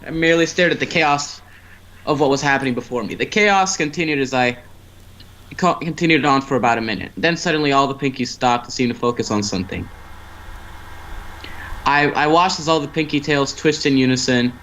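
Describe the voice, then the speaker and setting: A man's voice: mid-range voice, a little fast, a bit bright. A man reading a story aloud as an amateur narrator, stumbling over words and restarting phrases.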